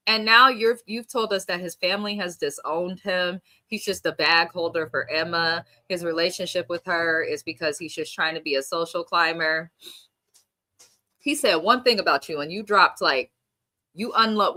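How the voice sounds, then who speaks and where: A young woman, sniffly from allergies, giving a slangy, animated recap of a reality TV episode. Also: fairly low pitch, moderate pace. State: stuffy nose from allergies. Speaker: reality TV reviewer. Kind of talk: casual recap and reaction.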